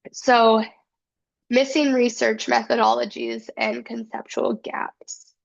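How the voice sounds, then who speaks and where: A woman's voice: fairly high pitch, level tone, slow, vocal fry, muffled recording. A woman with a cold giving a casual academic presentation, earnest and full of fillers. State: has a cold. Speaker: student presenter.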